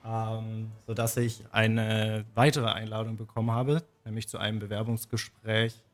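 Measured young man with a lowish voice. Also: flat delivery. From southwest Germany. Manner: measured, hesitant, many fillers. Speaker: trainee, radio guest. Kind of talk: radio interview answers.

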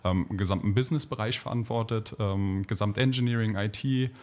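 A man with a somewhat low voice who answers as a radio guest. He hesitates often and fills his pauses with ums as he explains his career and gives advice. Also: a little mellow. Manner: hesitant, filler-heavy. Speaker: company employee, radio guest.

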